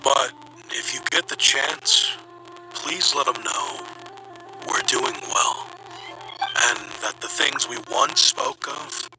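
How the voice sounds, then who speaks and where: A man's voice: medium pitch, huge pitch swings, takes it slowly, vocal fry, full character voice, low-quality recording. A man playing an earnest, loyal friend in sincere fantasy video game dialogue, with music behind him.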